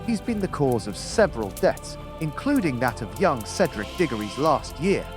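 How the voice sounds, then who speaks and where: Guy doing hostile wizard official, formal n accusing, animated pitch, music behind. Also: moderate pace, natural voice. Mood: stern accusing. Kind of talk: fantasy audio drama acting.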